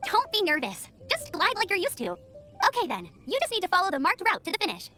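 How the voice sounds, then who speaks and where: A woman's voice: extremely high pitch, wildly swooping pitch, fast talker, over music, exaggerated voice. A woman playing a cheerful, eager teenage girl scout in a video game, earnest and informal. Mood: embarrassed, sincere, then upbeat, excited.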